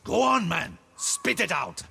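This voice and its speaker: An actor playing a stern, dutiful guard captain in a fantasy video game. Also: male voice, high-pitched, wildly swooping pitch, measured pace, vocal fry, pushed and bright, breathy edge, exaggerated voice. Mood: stern demanding. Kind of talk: dramatic video game acting.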